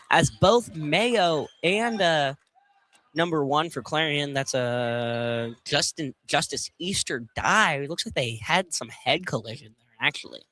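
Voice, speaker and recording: A man's voice: on the high side, bright, forceful tone. A man giving casual live color commentary on a basketball game from inside a field house.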